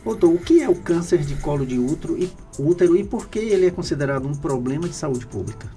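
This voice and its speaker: A man's voice: a little high, expressive, fast talker, dark tone, faint music behind. A man hosting a radio podcast, asking short, friendly questions and giving brief affirmations. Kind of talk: casual interview hosting.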